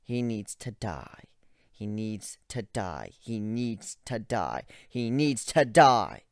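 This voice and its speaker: A man's voice: lowish voice, no room sound, studio quality, heavily acted. Man acting a cold, menacing creature bent on killing. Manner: flat, menacing, deliberate. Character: cold, obsessed male griffin-like creature set on killing.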